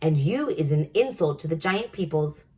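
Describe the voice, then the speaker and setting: A woman's voice: deep voice, expressive, normal speed, breathy edge. Woman putting on a bullying, roaring greedy giant. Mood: scornful, enraged. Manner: roaring, shouting insults. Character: greedy, bullying male giant, horrible and man-eating.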